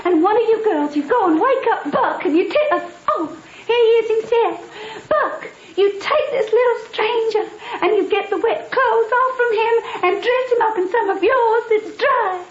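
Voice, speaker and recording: A woman's voice: very high voice, moderate pace, few pauses. An actress playing a fussing, motherly old Southern lady in an old radio drama, bustling and folksy. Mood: tender, bustling. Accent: American Southern. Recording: echoey, dull old radio broadcast.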